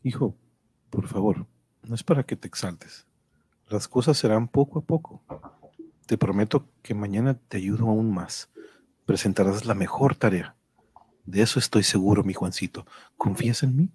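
A man playing a patient, firm but loving father in a radio drama, gently instructing in a husky voice. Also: lowish voice, moderate pace, pauses often, dry recording. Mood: gentle, reassuring. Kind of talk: amateur Spanish-language radio drama acting.

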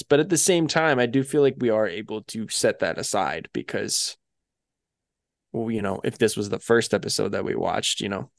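A man's voice: lowish voice, dry recording. Man chatting casually, close dry recording. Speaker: podcast co-host. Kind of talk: casual chat reviewing cartoon episodes.

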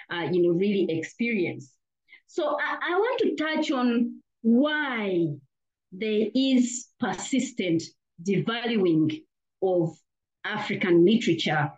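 A woman from Uganda answers interview questions earnestly. She often restarts and leans on "you know". Her voice sits in a middle pitch, and the recording sounds dull and lo-fi. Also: a little mellow. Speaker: academic guest. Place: lives in Australia.

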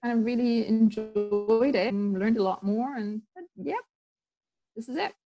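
A woman's voice: fairly high pitch, unhurried, dull audio, over music. Chatty woman telling her story. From Tanzania. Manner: chatty storytelling with many fillers and restarts. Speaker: sports psychologist guest. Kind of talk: casual interview talk.

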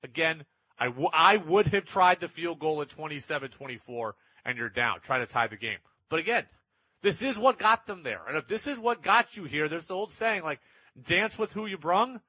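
A man with a high-pitched, forceful voice hosts sports talk. He argues emphatically and circles back to hammer his points. The sound is dry and close, a little dull in fidelity. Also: lively intonation, moderate pace, slightly rough, no echo. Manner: emphatic, repeats points for effect. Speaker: sports talk show host. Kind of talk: opinionated sports talk commentary.

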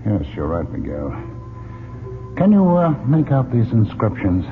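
Radio drama: gentleman explorer, lowish and highly varied, theatrical yet reasoning, vintage narrow-band sound. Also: huge pitch swings, measured pace. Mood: curious. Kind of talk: old-time radio drama acting. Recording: tinny old radio recording, music behind.

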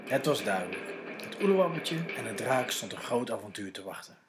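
A man's voice: fairly low pitch, sing-song, fairly quick, music in the background. Dutch man narrating a children's story. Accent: Netherlands Dutch.

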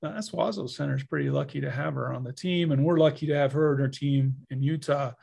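A man's voice: fairly high pitch, quick pace, vocal fry, darkish tone, husky. A man hosting a podcast, introducing a guest and asking friendly, jokey questions. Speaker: podcast host. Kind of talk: podcast interview.

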